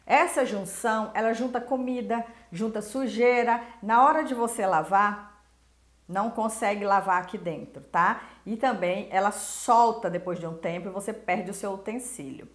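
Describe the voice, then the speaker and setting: A woman's voice: medium pitch, moderate pace. A Brazilian woman hosting a casual cooking lesson, chatty and emphatic as she talks straight to the viewer. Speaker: cooking show host. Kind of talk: casual instructional talk to camera. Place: small indoor kitchen. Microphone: lapel mic. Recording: roomy kitchen echo.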